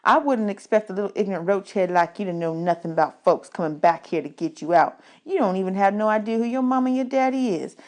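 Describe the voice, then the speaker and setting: A woman's voice: medium pitch, fast, hardly any pauses, lightly acted. A woman voicing a boastful, sneering orphanage boy bully with a drawling, taunting delivery, in a storybook reading. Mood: mocking.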